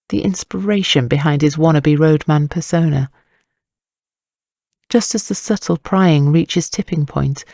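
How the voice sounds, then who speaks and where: A male narrator tells a story in a wry, scripted style. His voice is mellow and soft-edged with a gravelly fry, recorded very dry. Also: a little high, no echo.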